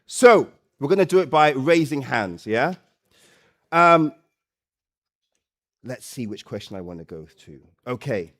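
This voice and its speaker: A man hosting a live stage event, loud and playful, coaxing the audience and often repeating phrases. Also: mid-range voice, very animated, measured pace, long pauses. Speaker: event host and MC.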